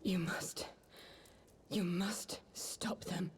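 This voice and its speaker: An actress playing a wounded young noblewoman, weak and breathy, straining to speak with urgency. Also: lowish voice, fairly even intonation, extremely slow, whispered. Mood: weak, urgent. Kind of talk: video game voice acting, dramatic dialogue.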